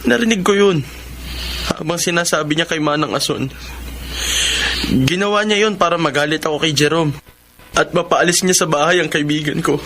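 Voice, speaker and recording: A man's voice: fairly high pitch, moderate pace, pauses often, fairly bright tone, a little hiss or hum. A young man acting a hurt, resentful son in a Filipino radio drama. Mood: hurt, accusing. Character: hurt, resentful blind son confronting his mother.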